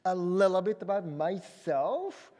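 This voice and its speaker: A man's voice: sits high, animated, a little slow, warm tone, long reverb tail. A man in his forties with a Japanese accent, moderating a science panel with playful, jokey asides. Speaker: panel moderator and robotics engineer. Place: conference hall.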